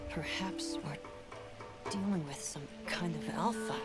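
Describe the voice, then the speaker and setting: A woman's voice: fairly low pitch, takes it slowly. A woman playing an eager, scholarly monster researcher in a video game, articulate and analytical in delivery. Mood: studious, intrigued. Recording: loud music over the voice. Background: loud game music.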